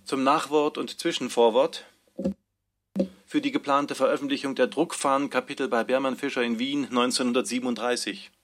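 A man's voice: medium pitch, steady tone, moderate pace, fairly bright tone, soft background music. A man reading out source headings as a scripted, factual announcement.

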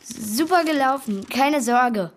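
Cheeky, keen young boy hero-in-waiting, raised pitch, taking his time, children's radio drama. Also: lively intonation, slow pace, heavily acted. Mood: cheeky, confident. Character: eager cheeky young boy posing as superhero's son. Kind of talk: children's radio play acting.

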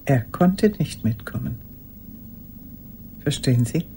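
An actress playing an old woman haunted by a lost love, speaking in a halting, intimate radio drama monologue. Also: very deep voice, expressive, creaky, warm tone, slight background noise, full character voice. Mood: haunted, hushed. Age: older. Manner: halting, intimate, sometimes dropping to a whisper.

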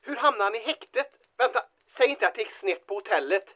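A woman's voice: a little high, animated, a bit bright, lo-fi sound. An actress playing a blunt, impatient woman in a radio drama, with a sardonic, exasperated way of talking. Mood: impatient, alarmed.

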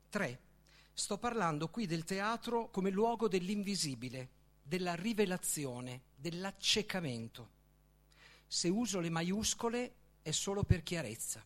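Male author-reader, high-set voice, widely swinging intonation, declamatory literary delivery. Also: fairly bright tone. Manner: declamatory, deliberate, with emphatic pauses. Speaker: author reading own book. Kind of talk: literary reading, dramatic recitation.

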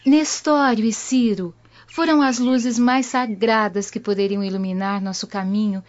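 An actress as a devout, gentle young Roman daughter. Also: female voice, mid-range voice, steady tone, a little slow, close to an everyday voice. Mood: reverent. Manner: earnest, reverent. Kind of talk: radio drama acting. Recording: muffled lo-fi audio with music behind.

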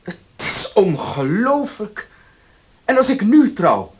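A man's voice: sits high, sing-song, takes it slowly, slight background noise, heavily acted. An actor playing a proud, distrustful male heir in a Dutch radio play, speaking formally, bluntly and emphatically. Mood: stunned.

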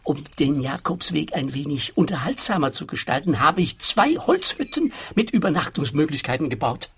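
An actor with a husky, high voice and a Swiss accent plays a fussy, shifty forest owner in a comic radio play. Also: male voice, very animated, moderate pace, exaggerated voice. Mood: earnest, furtive. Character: fussy, shifty male forest owner, small-time schemer. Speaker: client character. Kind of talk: comic radio detective drama.

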